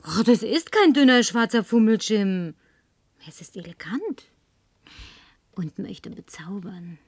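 A woman's voice: mid-range voice, lively intonation, slow pace, no room sound, heavily acted. A woman dubbing a lonely, tipsy, flirtatious woman, talking in a coaxing, whispery way that at times turns demanding. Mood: flirty. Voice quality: breathy, whispery. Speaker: advertising agency woman. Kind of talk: German film dub.

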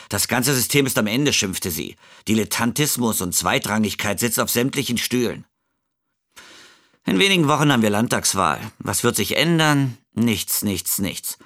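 Resigned, mid-voiced sound engineer. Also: male voice, medium pitch, fairly bright tone. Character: disillusioned, observant male sound engineer. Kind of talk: narrated monologue in a German audio play.

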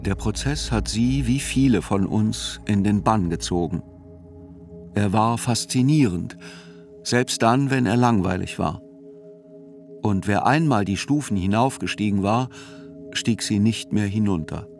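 A man reading scripted literary narration for a radio play in a deliberate, sober manner. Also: lowish voice, darkish tone. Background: faint music.

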